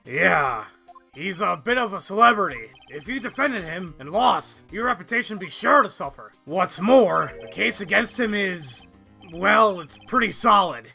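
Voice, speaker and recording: A man voicing a gruff, blustering but loyal police detective in an amateur video game dub, brash and folksy. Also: sits high, lively intonation, moderate pace, bright, forceful tone, soft background music, heavily acted. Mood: earnest, loyal. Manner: brash, folksy, calls people pal.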